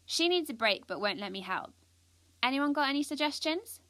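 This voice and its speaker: A woman's voice: sits high, expressive, moderate pace, fairly bright tone, dry recording. A young British woman playing an earnest, ambitious, anxious schoolgirl who confides her story in an audio drama. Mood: reflective. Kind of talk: first-person audio drama narration.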